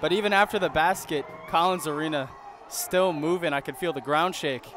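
A man's voice: a little high, normal speed. A man giving enthusiastic, casual color commentary on a college basketball game. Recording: crowd and court noise behind the commentary.

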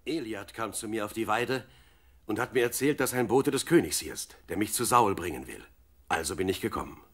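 An actor playing a fearless, devout young shepherd, calm and resolute, in a biblical radio play. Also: male voice, on the low side, a little fast, breathy edge. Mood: matter-of-fact. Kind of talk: German radio play acting.

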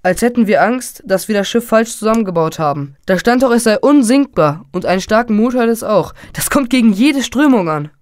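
A performer playing a confident, boastful, excitable boy in a children's radio play. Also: medium pitch, fairly quick, few pauses, no echo, clean studio sound, lightly acted. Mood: dismissive. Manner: cocky, dismissive, eager.

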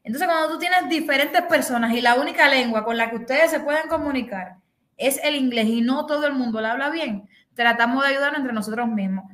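A woman's voice: sits high, a little fast, a bit bright, roomy sound. A middle-aged Cuban woman with a Cuban accent, telling a story on a video call and acting out the people in it. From Cuba. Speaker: host. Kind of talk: casual chat on a video call. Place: bedroom, indoors.

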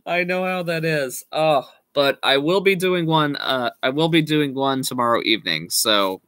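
A man in his thirties chatting casually with viewers on a live stream from a small room at home, slowly losing his voice and speaking in halting restarts. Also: on the high side, fairly bright tone, no room sound. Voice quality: slow, halting, with frequent restarts and laughs. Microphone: webcam at desk.

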